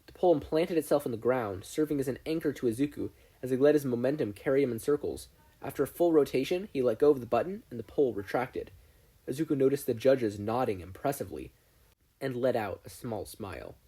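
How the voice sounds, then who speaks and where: A narrator reading a fan fiction story aloud in an expressive scripted style. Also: mid-range voice, fast, husky. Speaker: podfic narrator.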